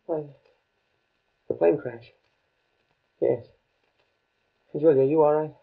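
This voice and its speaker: An actor playing a young scientist recovering from a crash in a 1950s radio drama. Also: male voice, fairly high pitch, slow, vocal fry, slightly rough, heavily acted. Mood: dazed, weak. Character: young male scientist professor, shaken after a plane crash. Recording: muffled, phone-like old radio recording.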